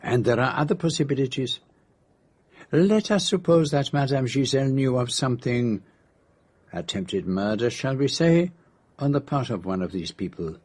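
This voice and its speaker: An actor playing a shrewd, courteous middle-aged detective in a radio drama, with a French-speaking Belgian accent and a formal, gently ironic manner. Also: male voice, mid-range voice, animated, normal speed, close to an everyday voice. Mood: polite, probing. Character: courteous, shrewd continental male detective, methodical and sly.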